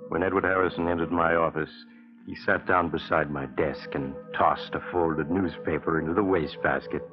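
An actor playing a grave, mysterious American storyteller, measured and ominous, in a 1940s radio suspense drama. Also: male voice, low voice, fairly even intonation, loud music behind the voice, natural voice. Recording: tinny, narrow-band 1940s radio recording.

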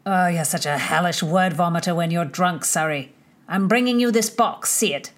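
A woman voicing a brash, drunken young male soldier in a storybook reading, talking loudly and mockingly. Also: fairly low pitch, moderate pace, close to an everyday voice. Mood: rowdy. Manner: loud, boisterous, mocking.

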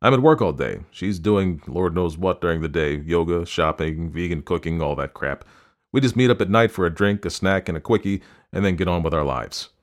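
A man's voice: low-pitched, expressive, a little fast. A man voicing a domineering, germ-phobic husband who speaks angrily and hits his syllables hard in an audiobook story. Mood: smug, dismissive. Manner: shouting, hitting syllables hard.

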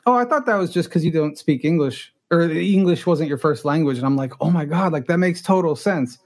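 A man's voice: fairly high pitch, brisk. A middle-aged man from Los Angeles whose first language was Spanish, a guest telling his own story in a candid, self-deprecating way with frequent restarts.

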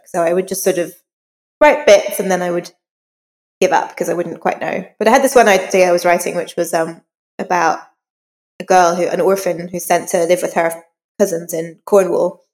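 A woman's voice: lowish voice, fairly quick, darkish tone. A woman speaking as an interview guest, informal and self-deprecating, telling personal anecdotes in a conversational way. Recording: long reverberant hall echo.

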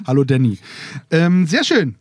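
A man's voice: a little high, big pitch swings, normal speed, breathy edge, dry recording. Playful German man hosting a live podcast. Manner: playful, rambling, drifting off topic. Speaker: podcast host. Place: festival recording room with a live audience.

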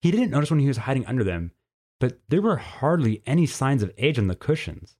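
A man reading a scripted story as narrator, in an expressive way. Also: medium pitch, a little fast.

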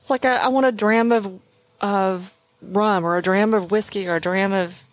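A woman's voice: mid-range voice, fairly even intonation, normal speed. A woman co-hosting a casual podcast, answering with dry, teasing banter. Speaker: podcast co-host. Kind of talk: casual chat.

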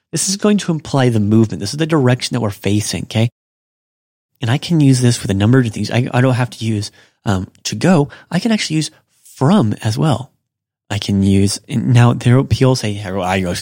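A man hosting a podcast, giving a casual language lesson and slowly repeating example phrases. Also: mid-range voice, a little mellow, no room sound, studio quality. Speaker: podcast host and Japanese teacher.

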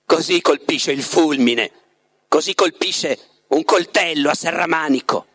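A man's voice: a little high, measured pace, a bit bright, no echo. Man reading as a tormented, lovestruck writer, confiding. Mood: intense. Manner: confiding, dramatic, addresses the listener with rhetorical questions. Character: lovestruck, tormented male writer in a psychiatric clinic. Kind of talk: dramatic literary reading.

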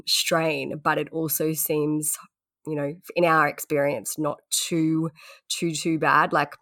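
A woman's voice: deep voice, no room sound, studio quality. A woman in her thirties co-hosting a podcast, talking casually with a blocked-up nose. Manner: relaxed, chatty, laughs often. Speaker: podcast co-host. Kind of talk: casual chat.

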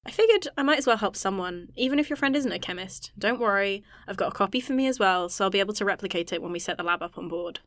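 A woman's voice: mid-range voice, animated, fast talker, hardly any pauses, fairly bright tone, no room sound, studio quality. A woman acting in an audio drama as an earnest, anxious scientist who rambles self-consciously. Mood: grateful.

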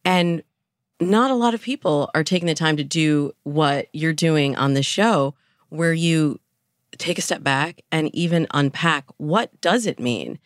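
Measured woman explaining in a dry studio. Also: low-pitched, no echo. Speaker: dating coach and interview guest. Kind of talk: explanatory podcast interview.

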